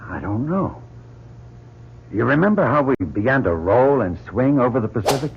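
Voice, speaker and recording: A man's voice: medium pitch, very animated, moderate pace, gravelly. An actor playing an earnest, questioning male astronaut commander in an old-time radio drama. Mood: troubled. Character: earnest male astronaut commander, self-doubting but responsible. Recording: dull noisy old radio recording.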